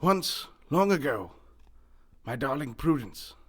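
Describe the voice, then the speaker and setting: An actor playing a pompous, wealthy newspaper boss with a grandiose, theatrical manner in a comedic radio play. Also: male voice, mid-range voice, big pitch swings, slow pace, fairly bright tone, breathy edge, heavily acted. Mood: grandly hospitable.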